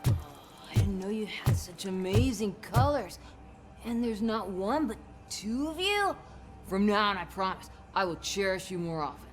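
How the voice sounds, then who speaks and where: A woman's voice: medium pitch, expressive, unhurried, natural voice. A woman playing a drugged, starstruck character in a video game, dreamy, rambling and giddy. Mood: dreamy wonder. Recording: music in background.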